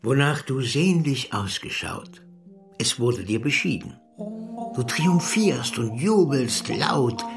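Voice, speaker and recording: A man's voice: medium pitch, animated, slow pace, creaky. A man giving a scripted recitation of old fairy tales and rhymes. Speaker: reader of tales and verse. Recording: roomy, distant from the mic. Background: music.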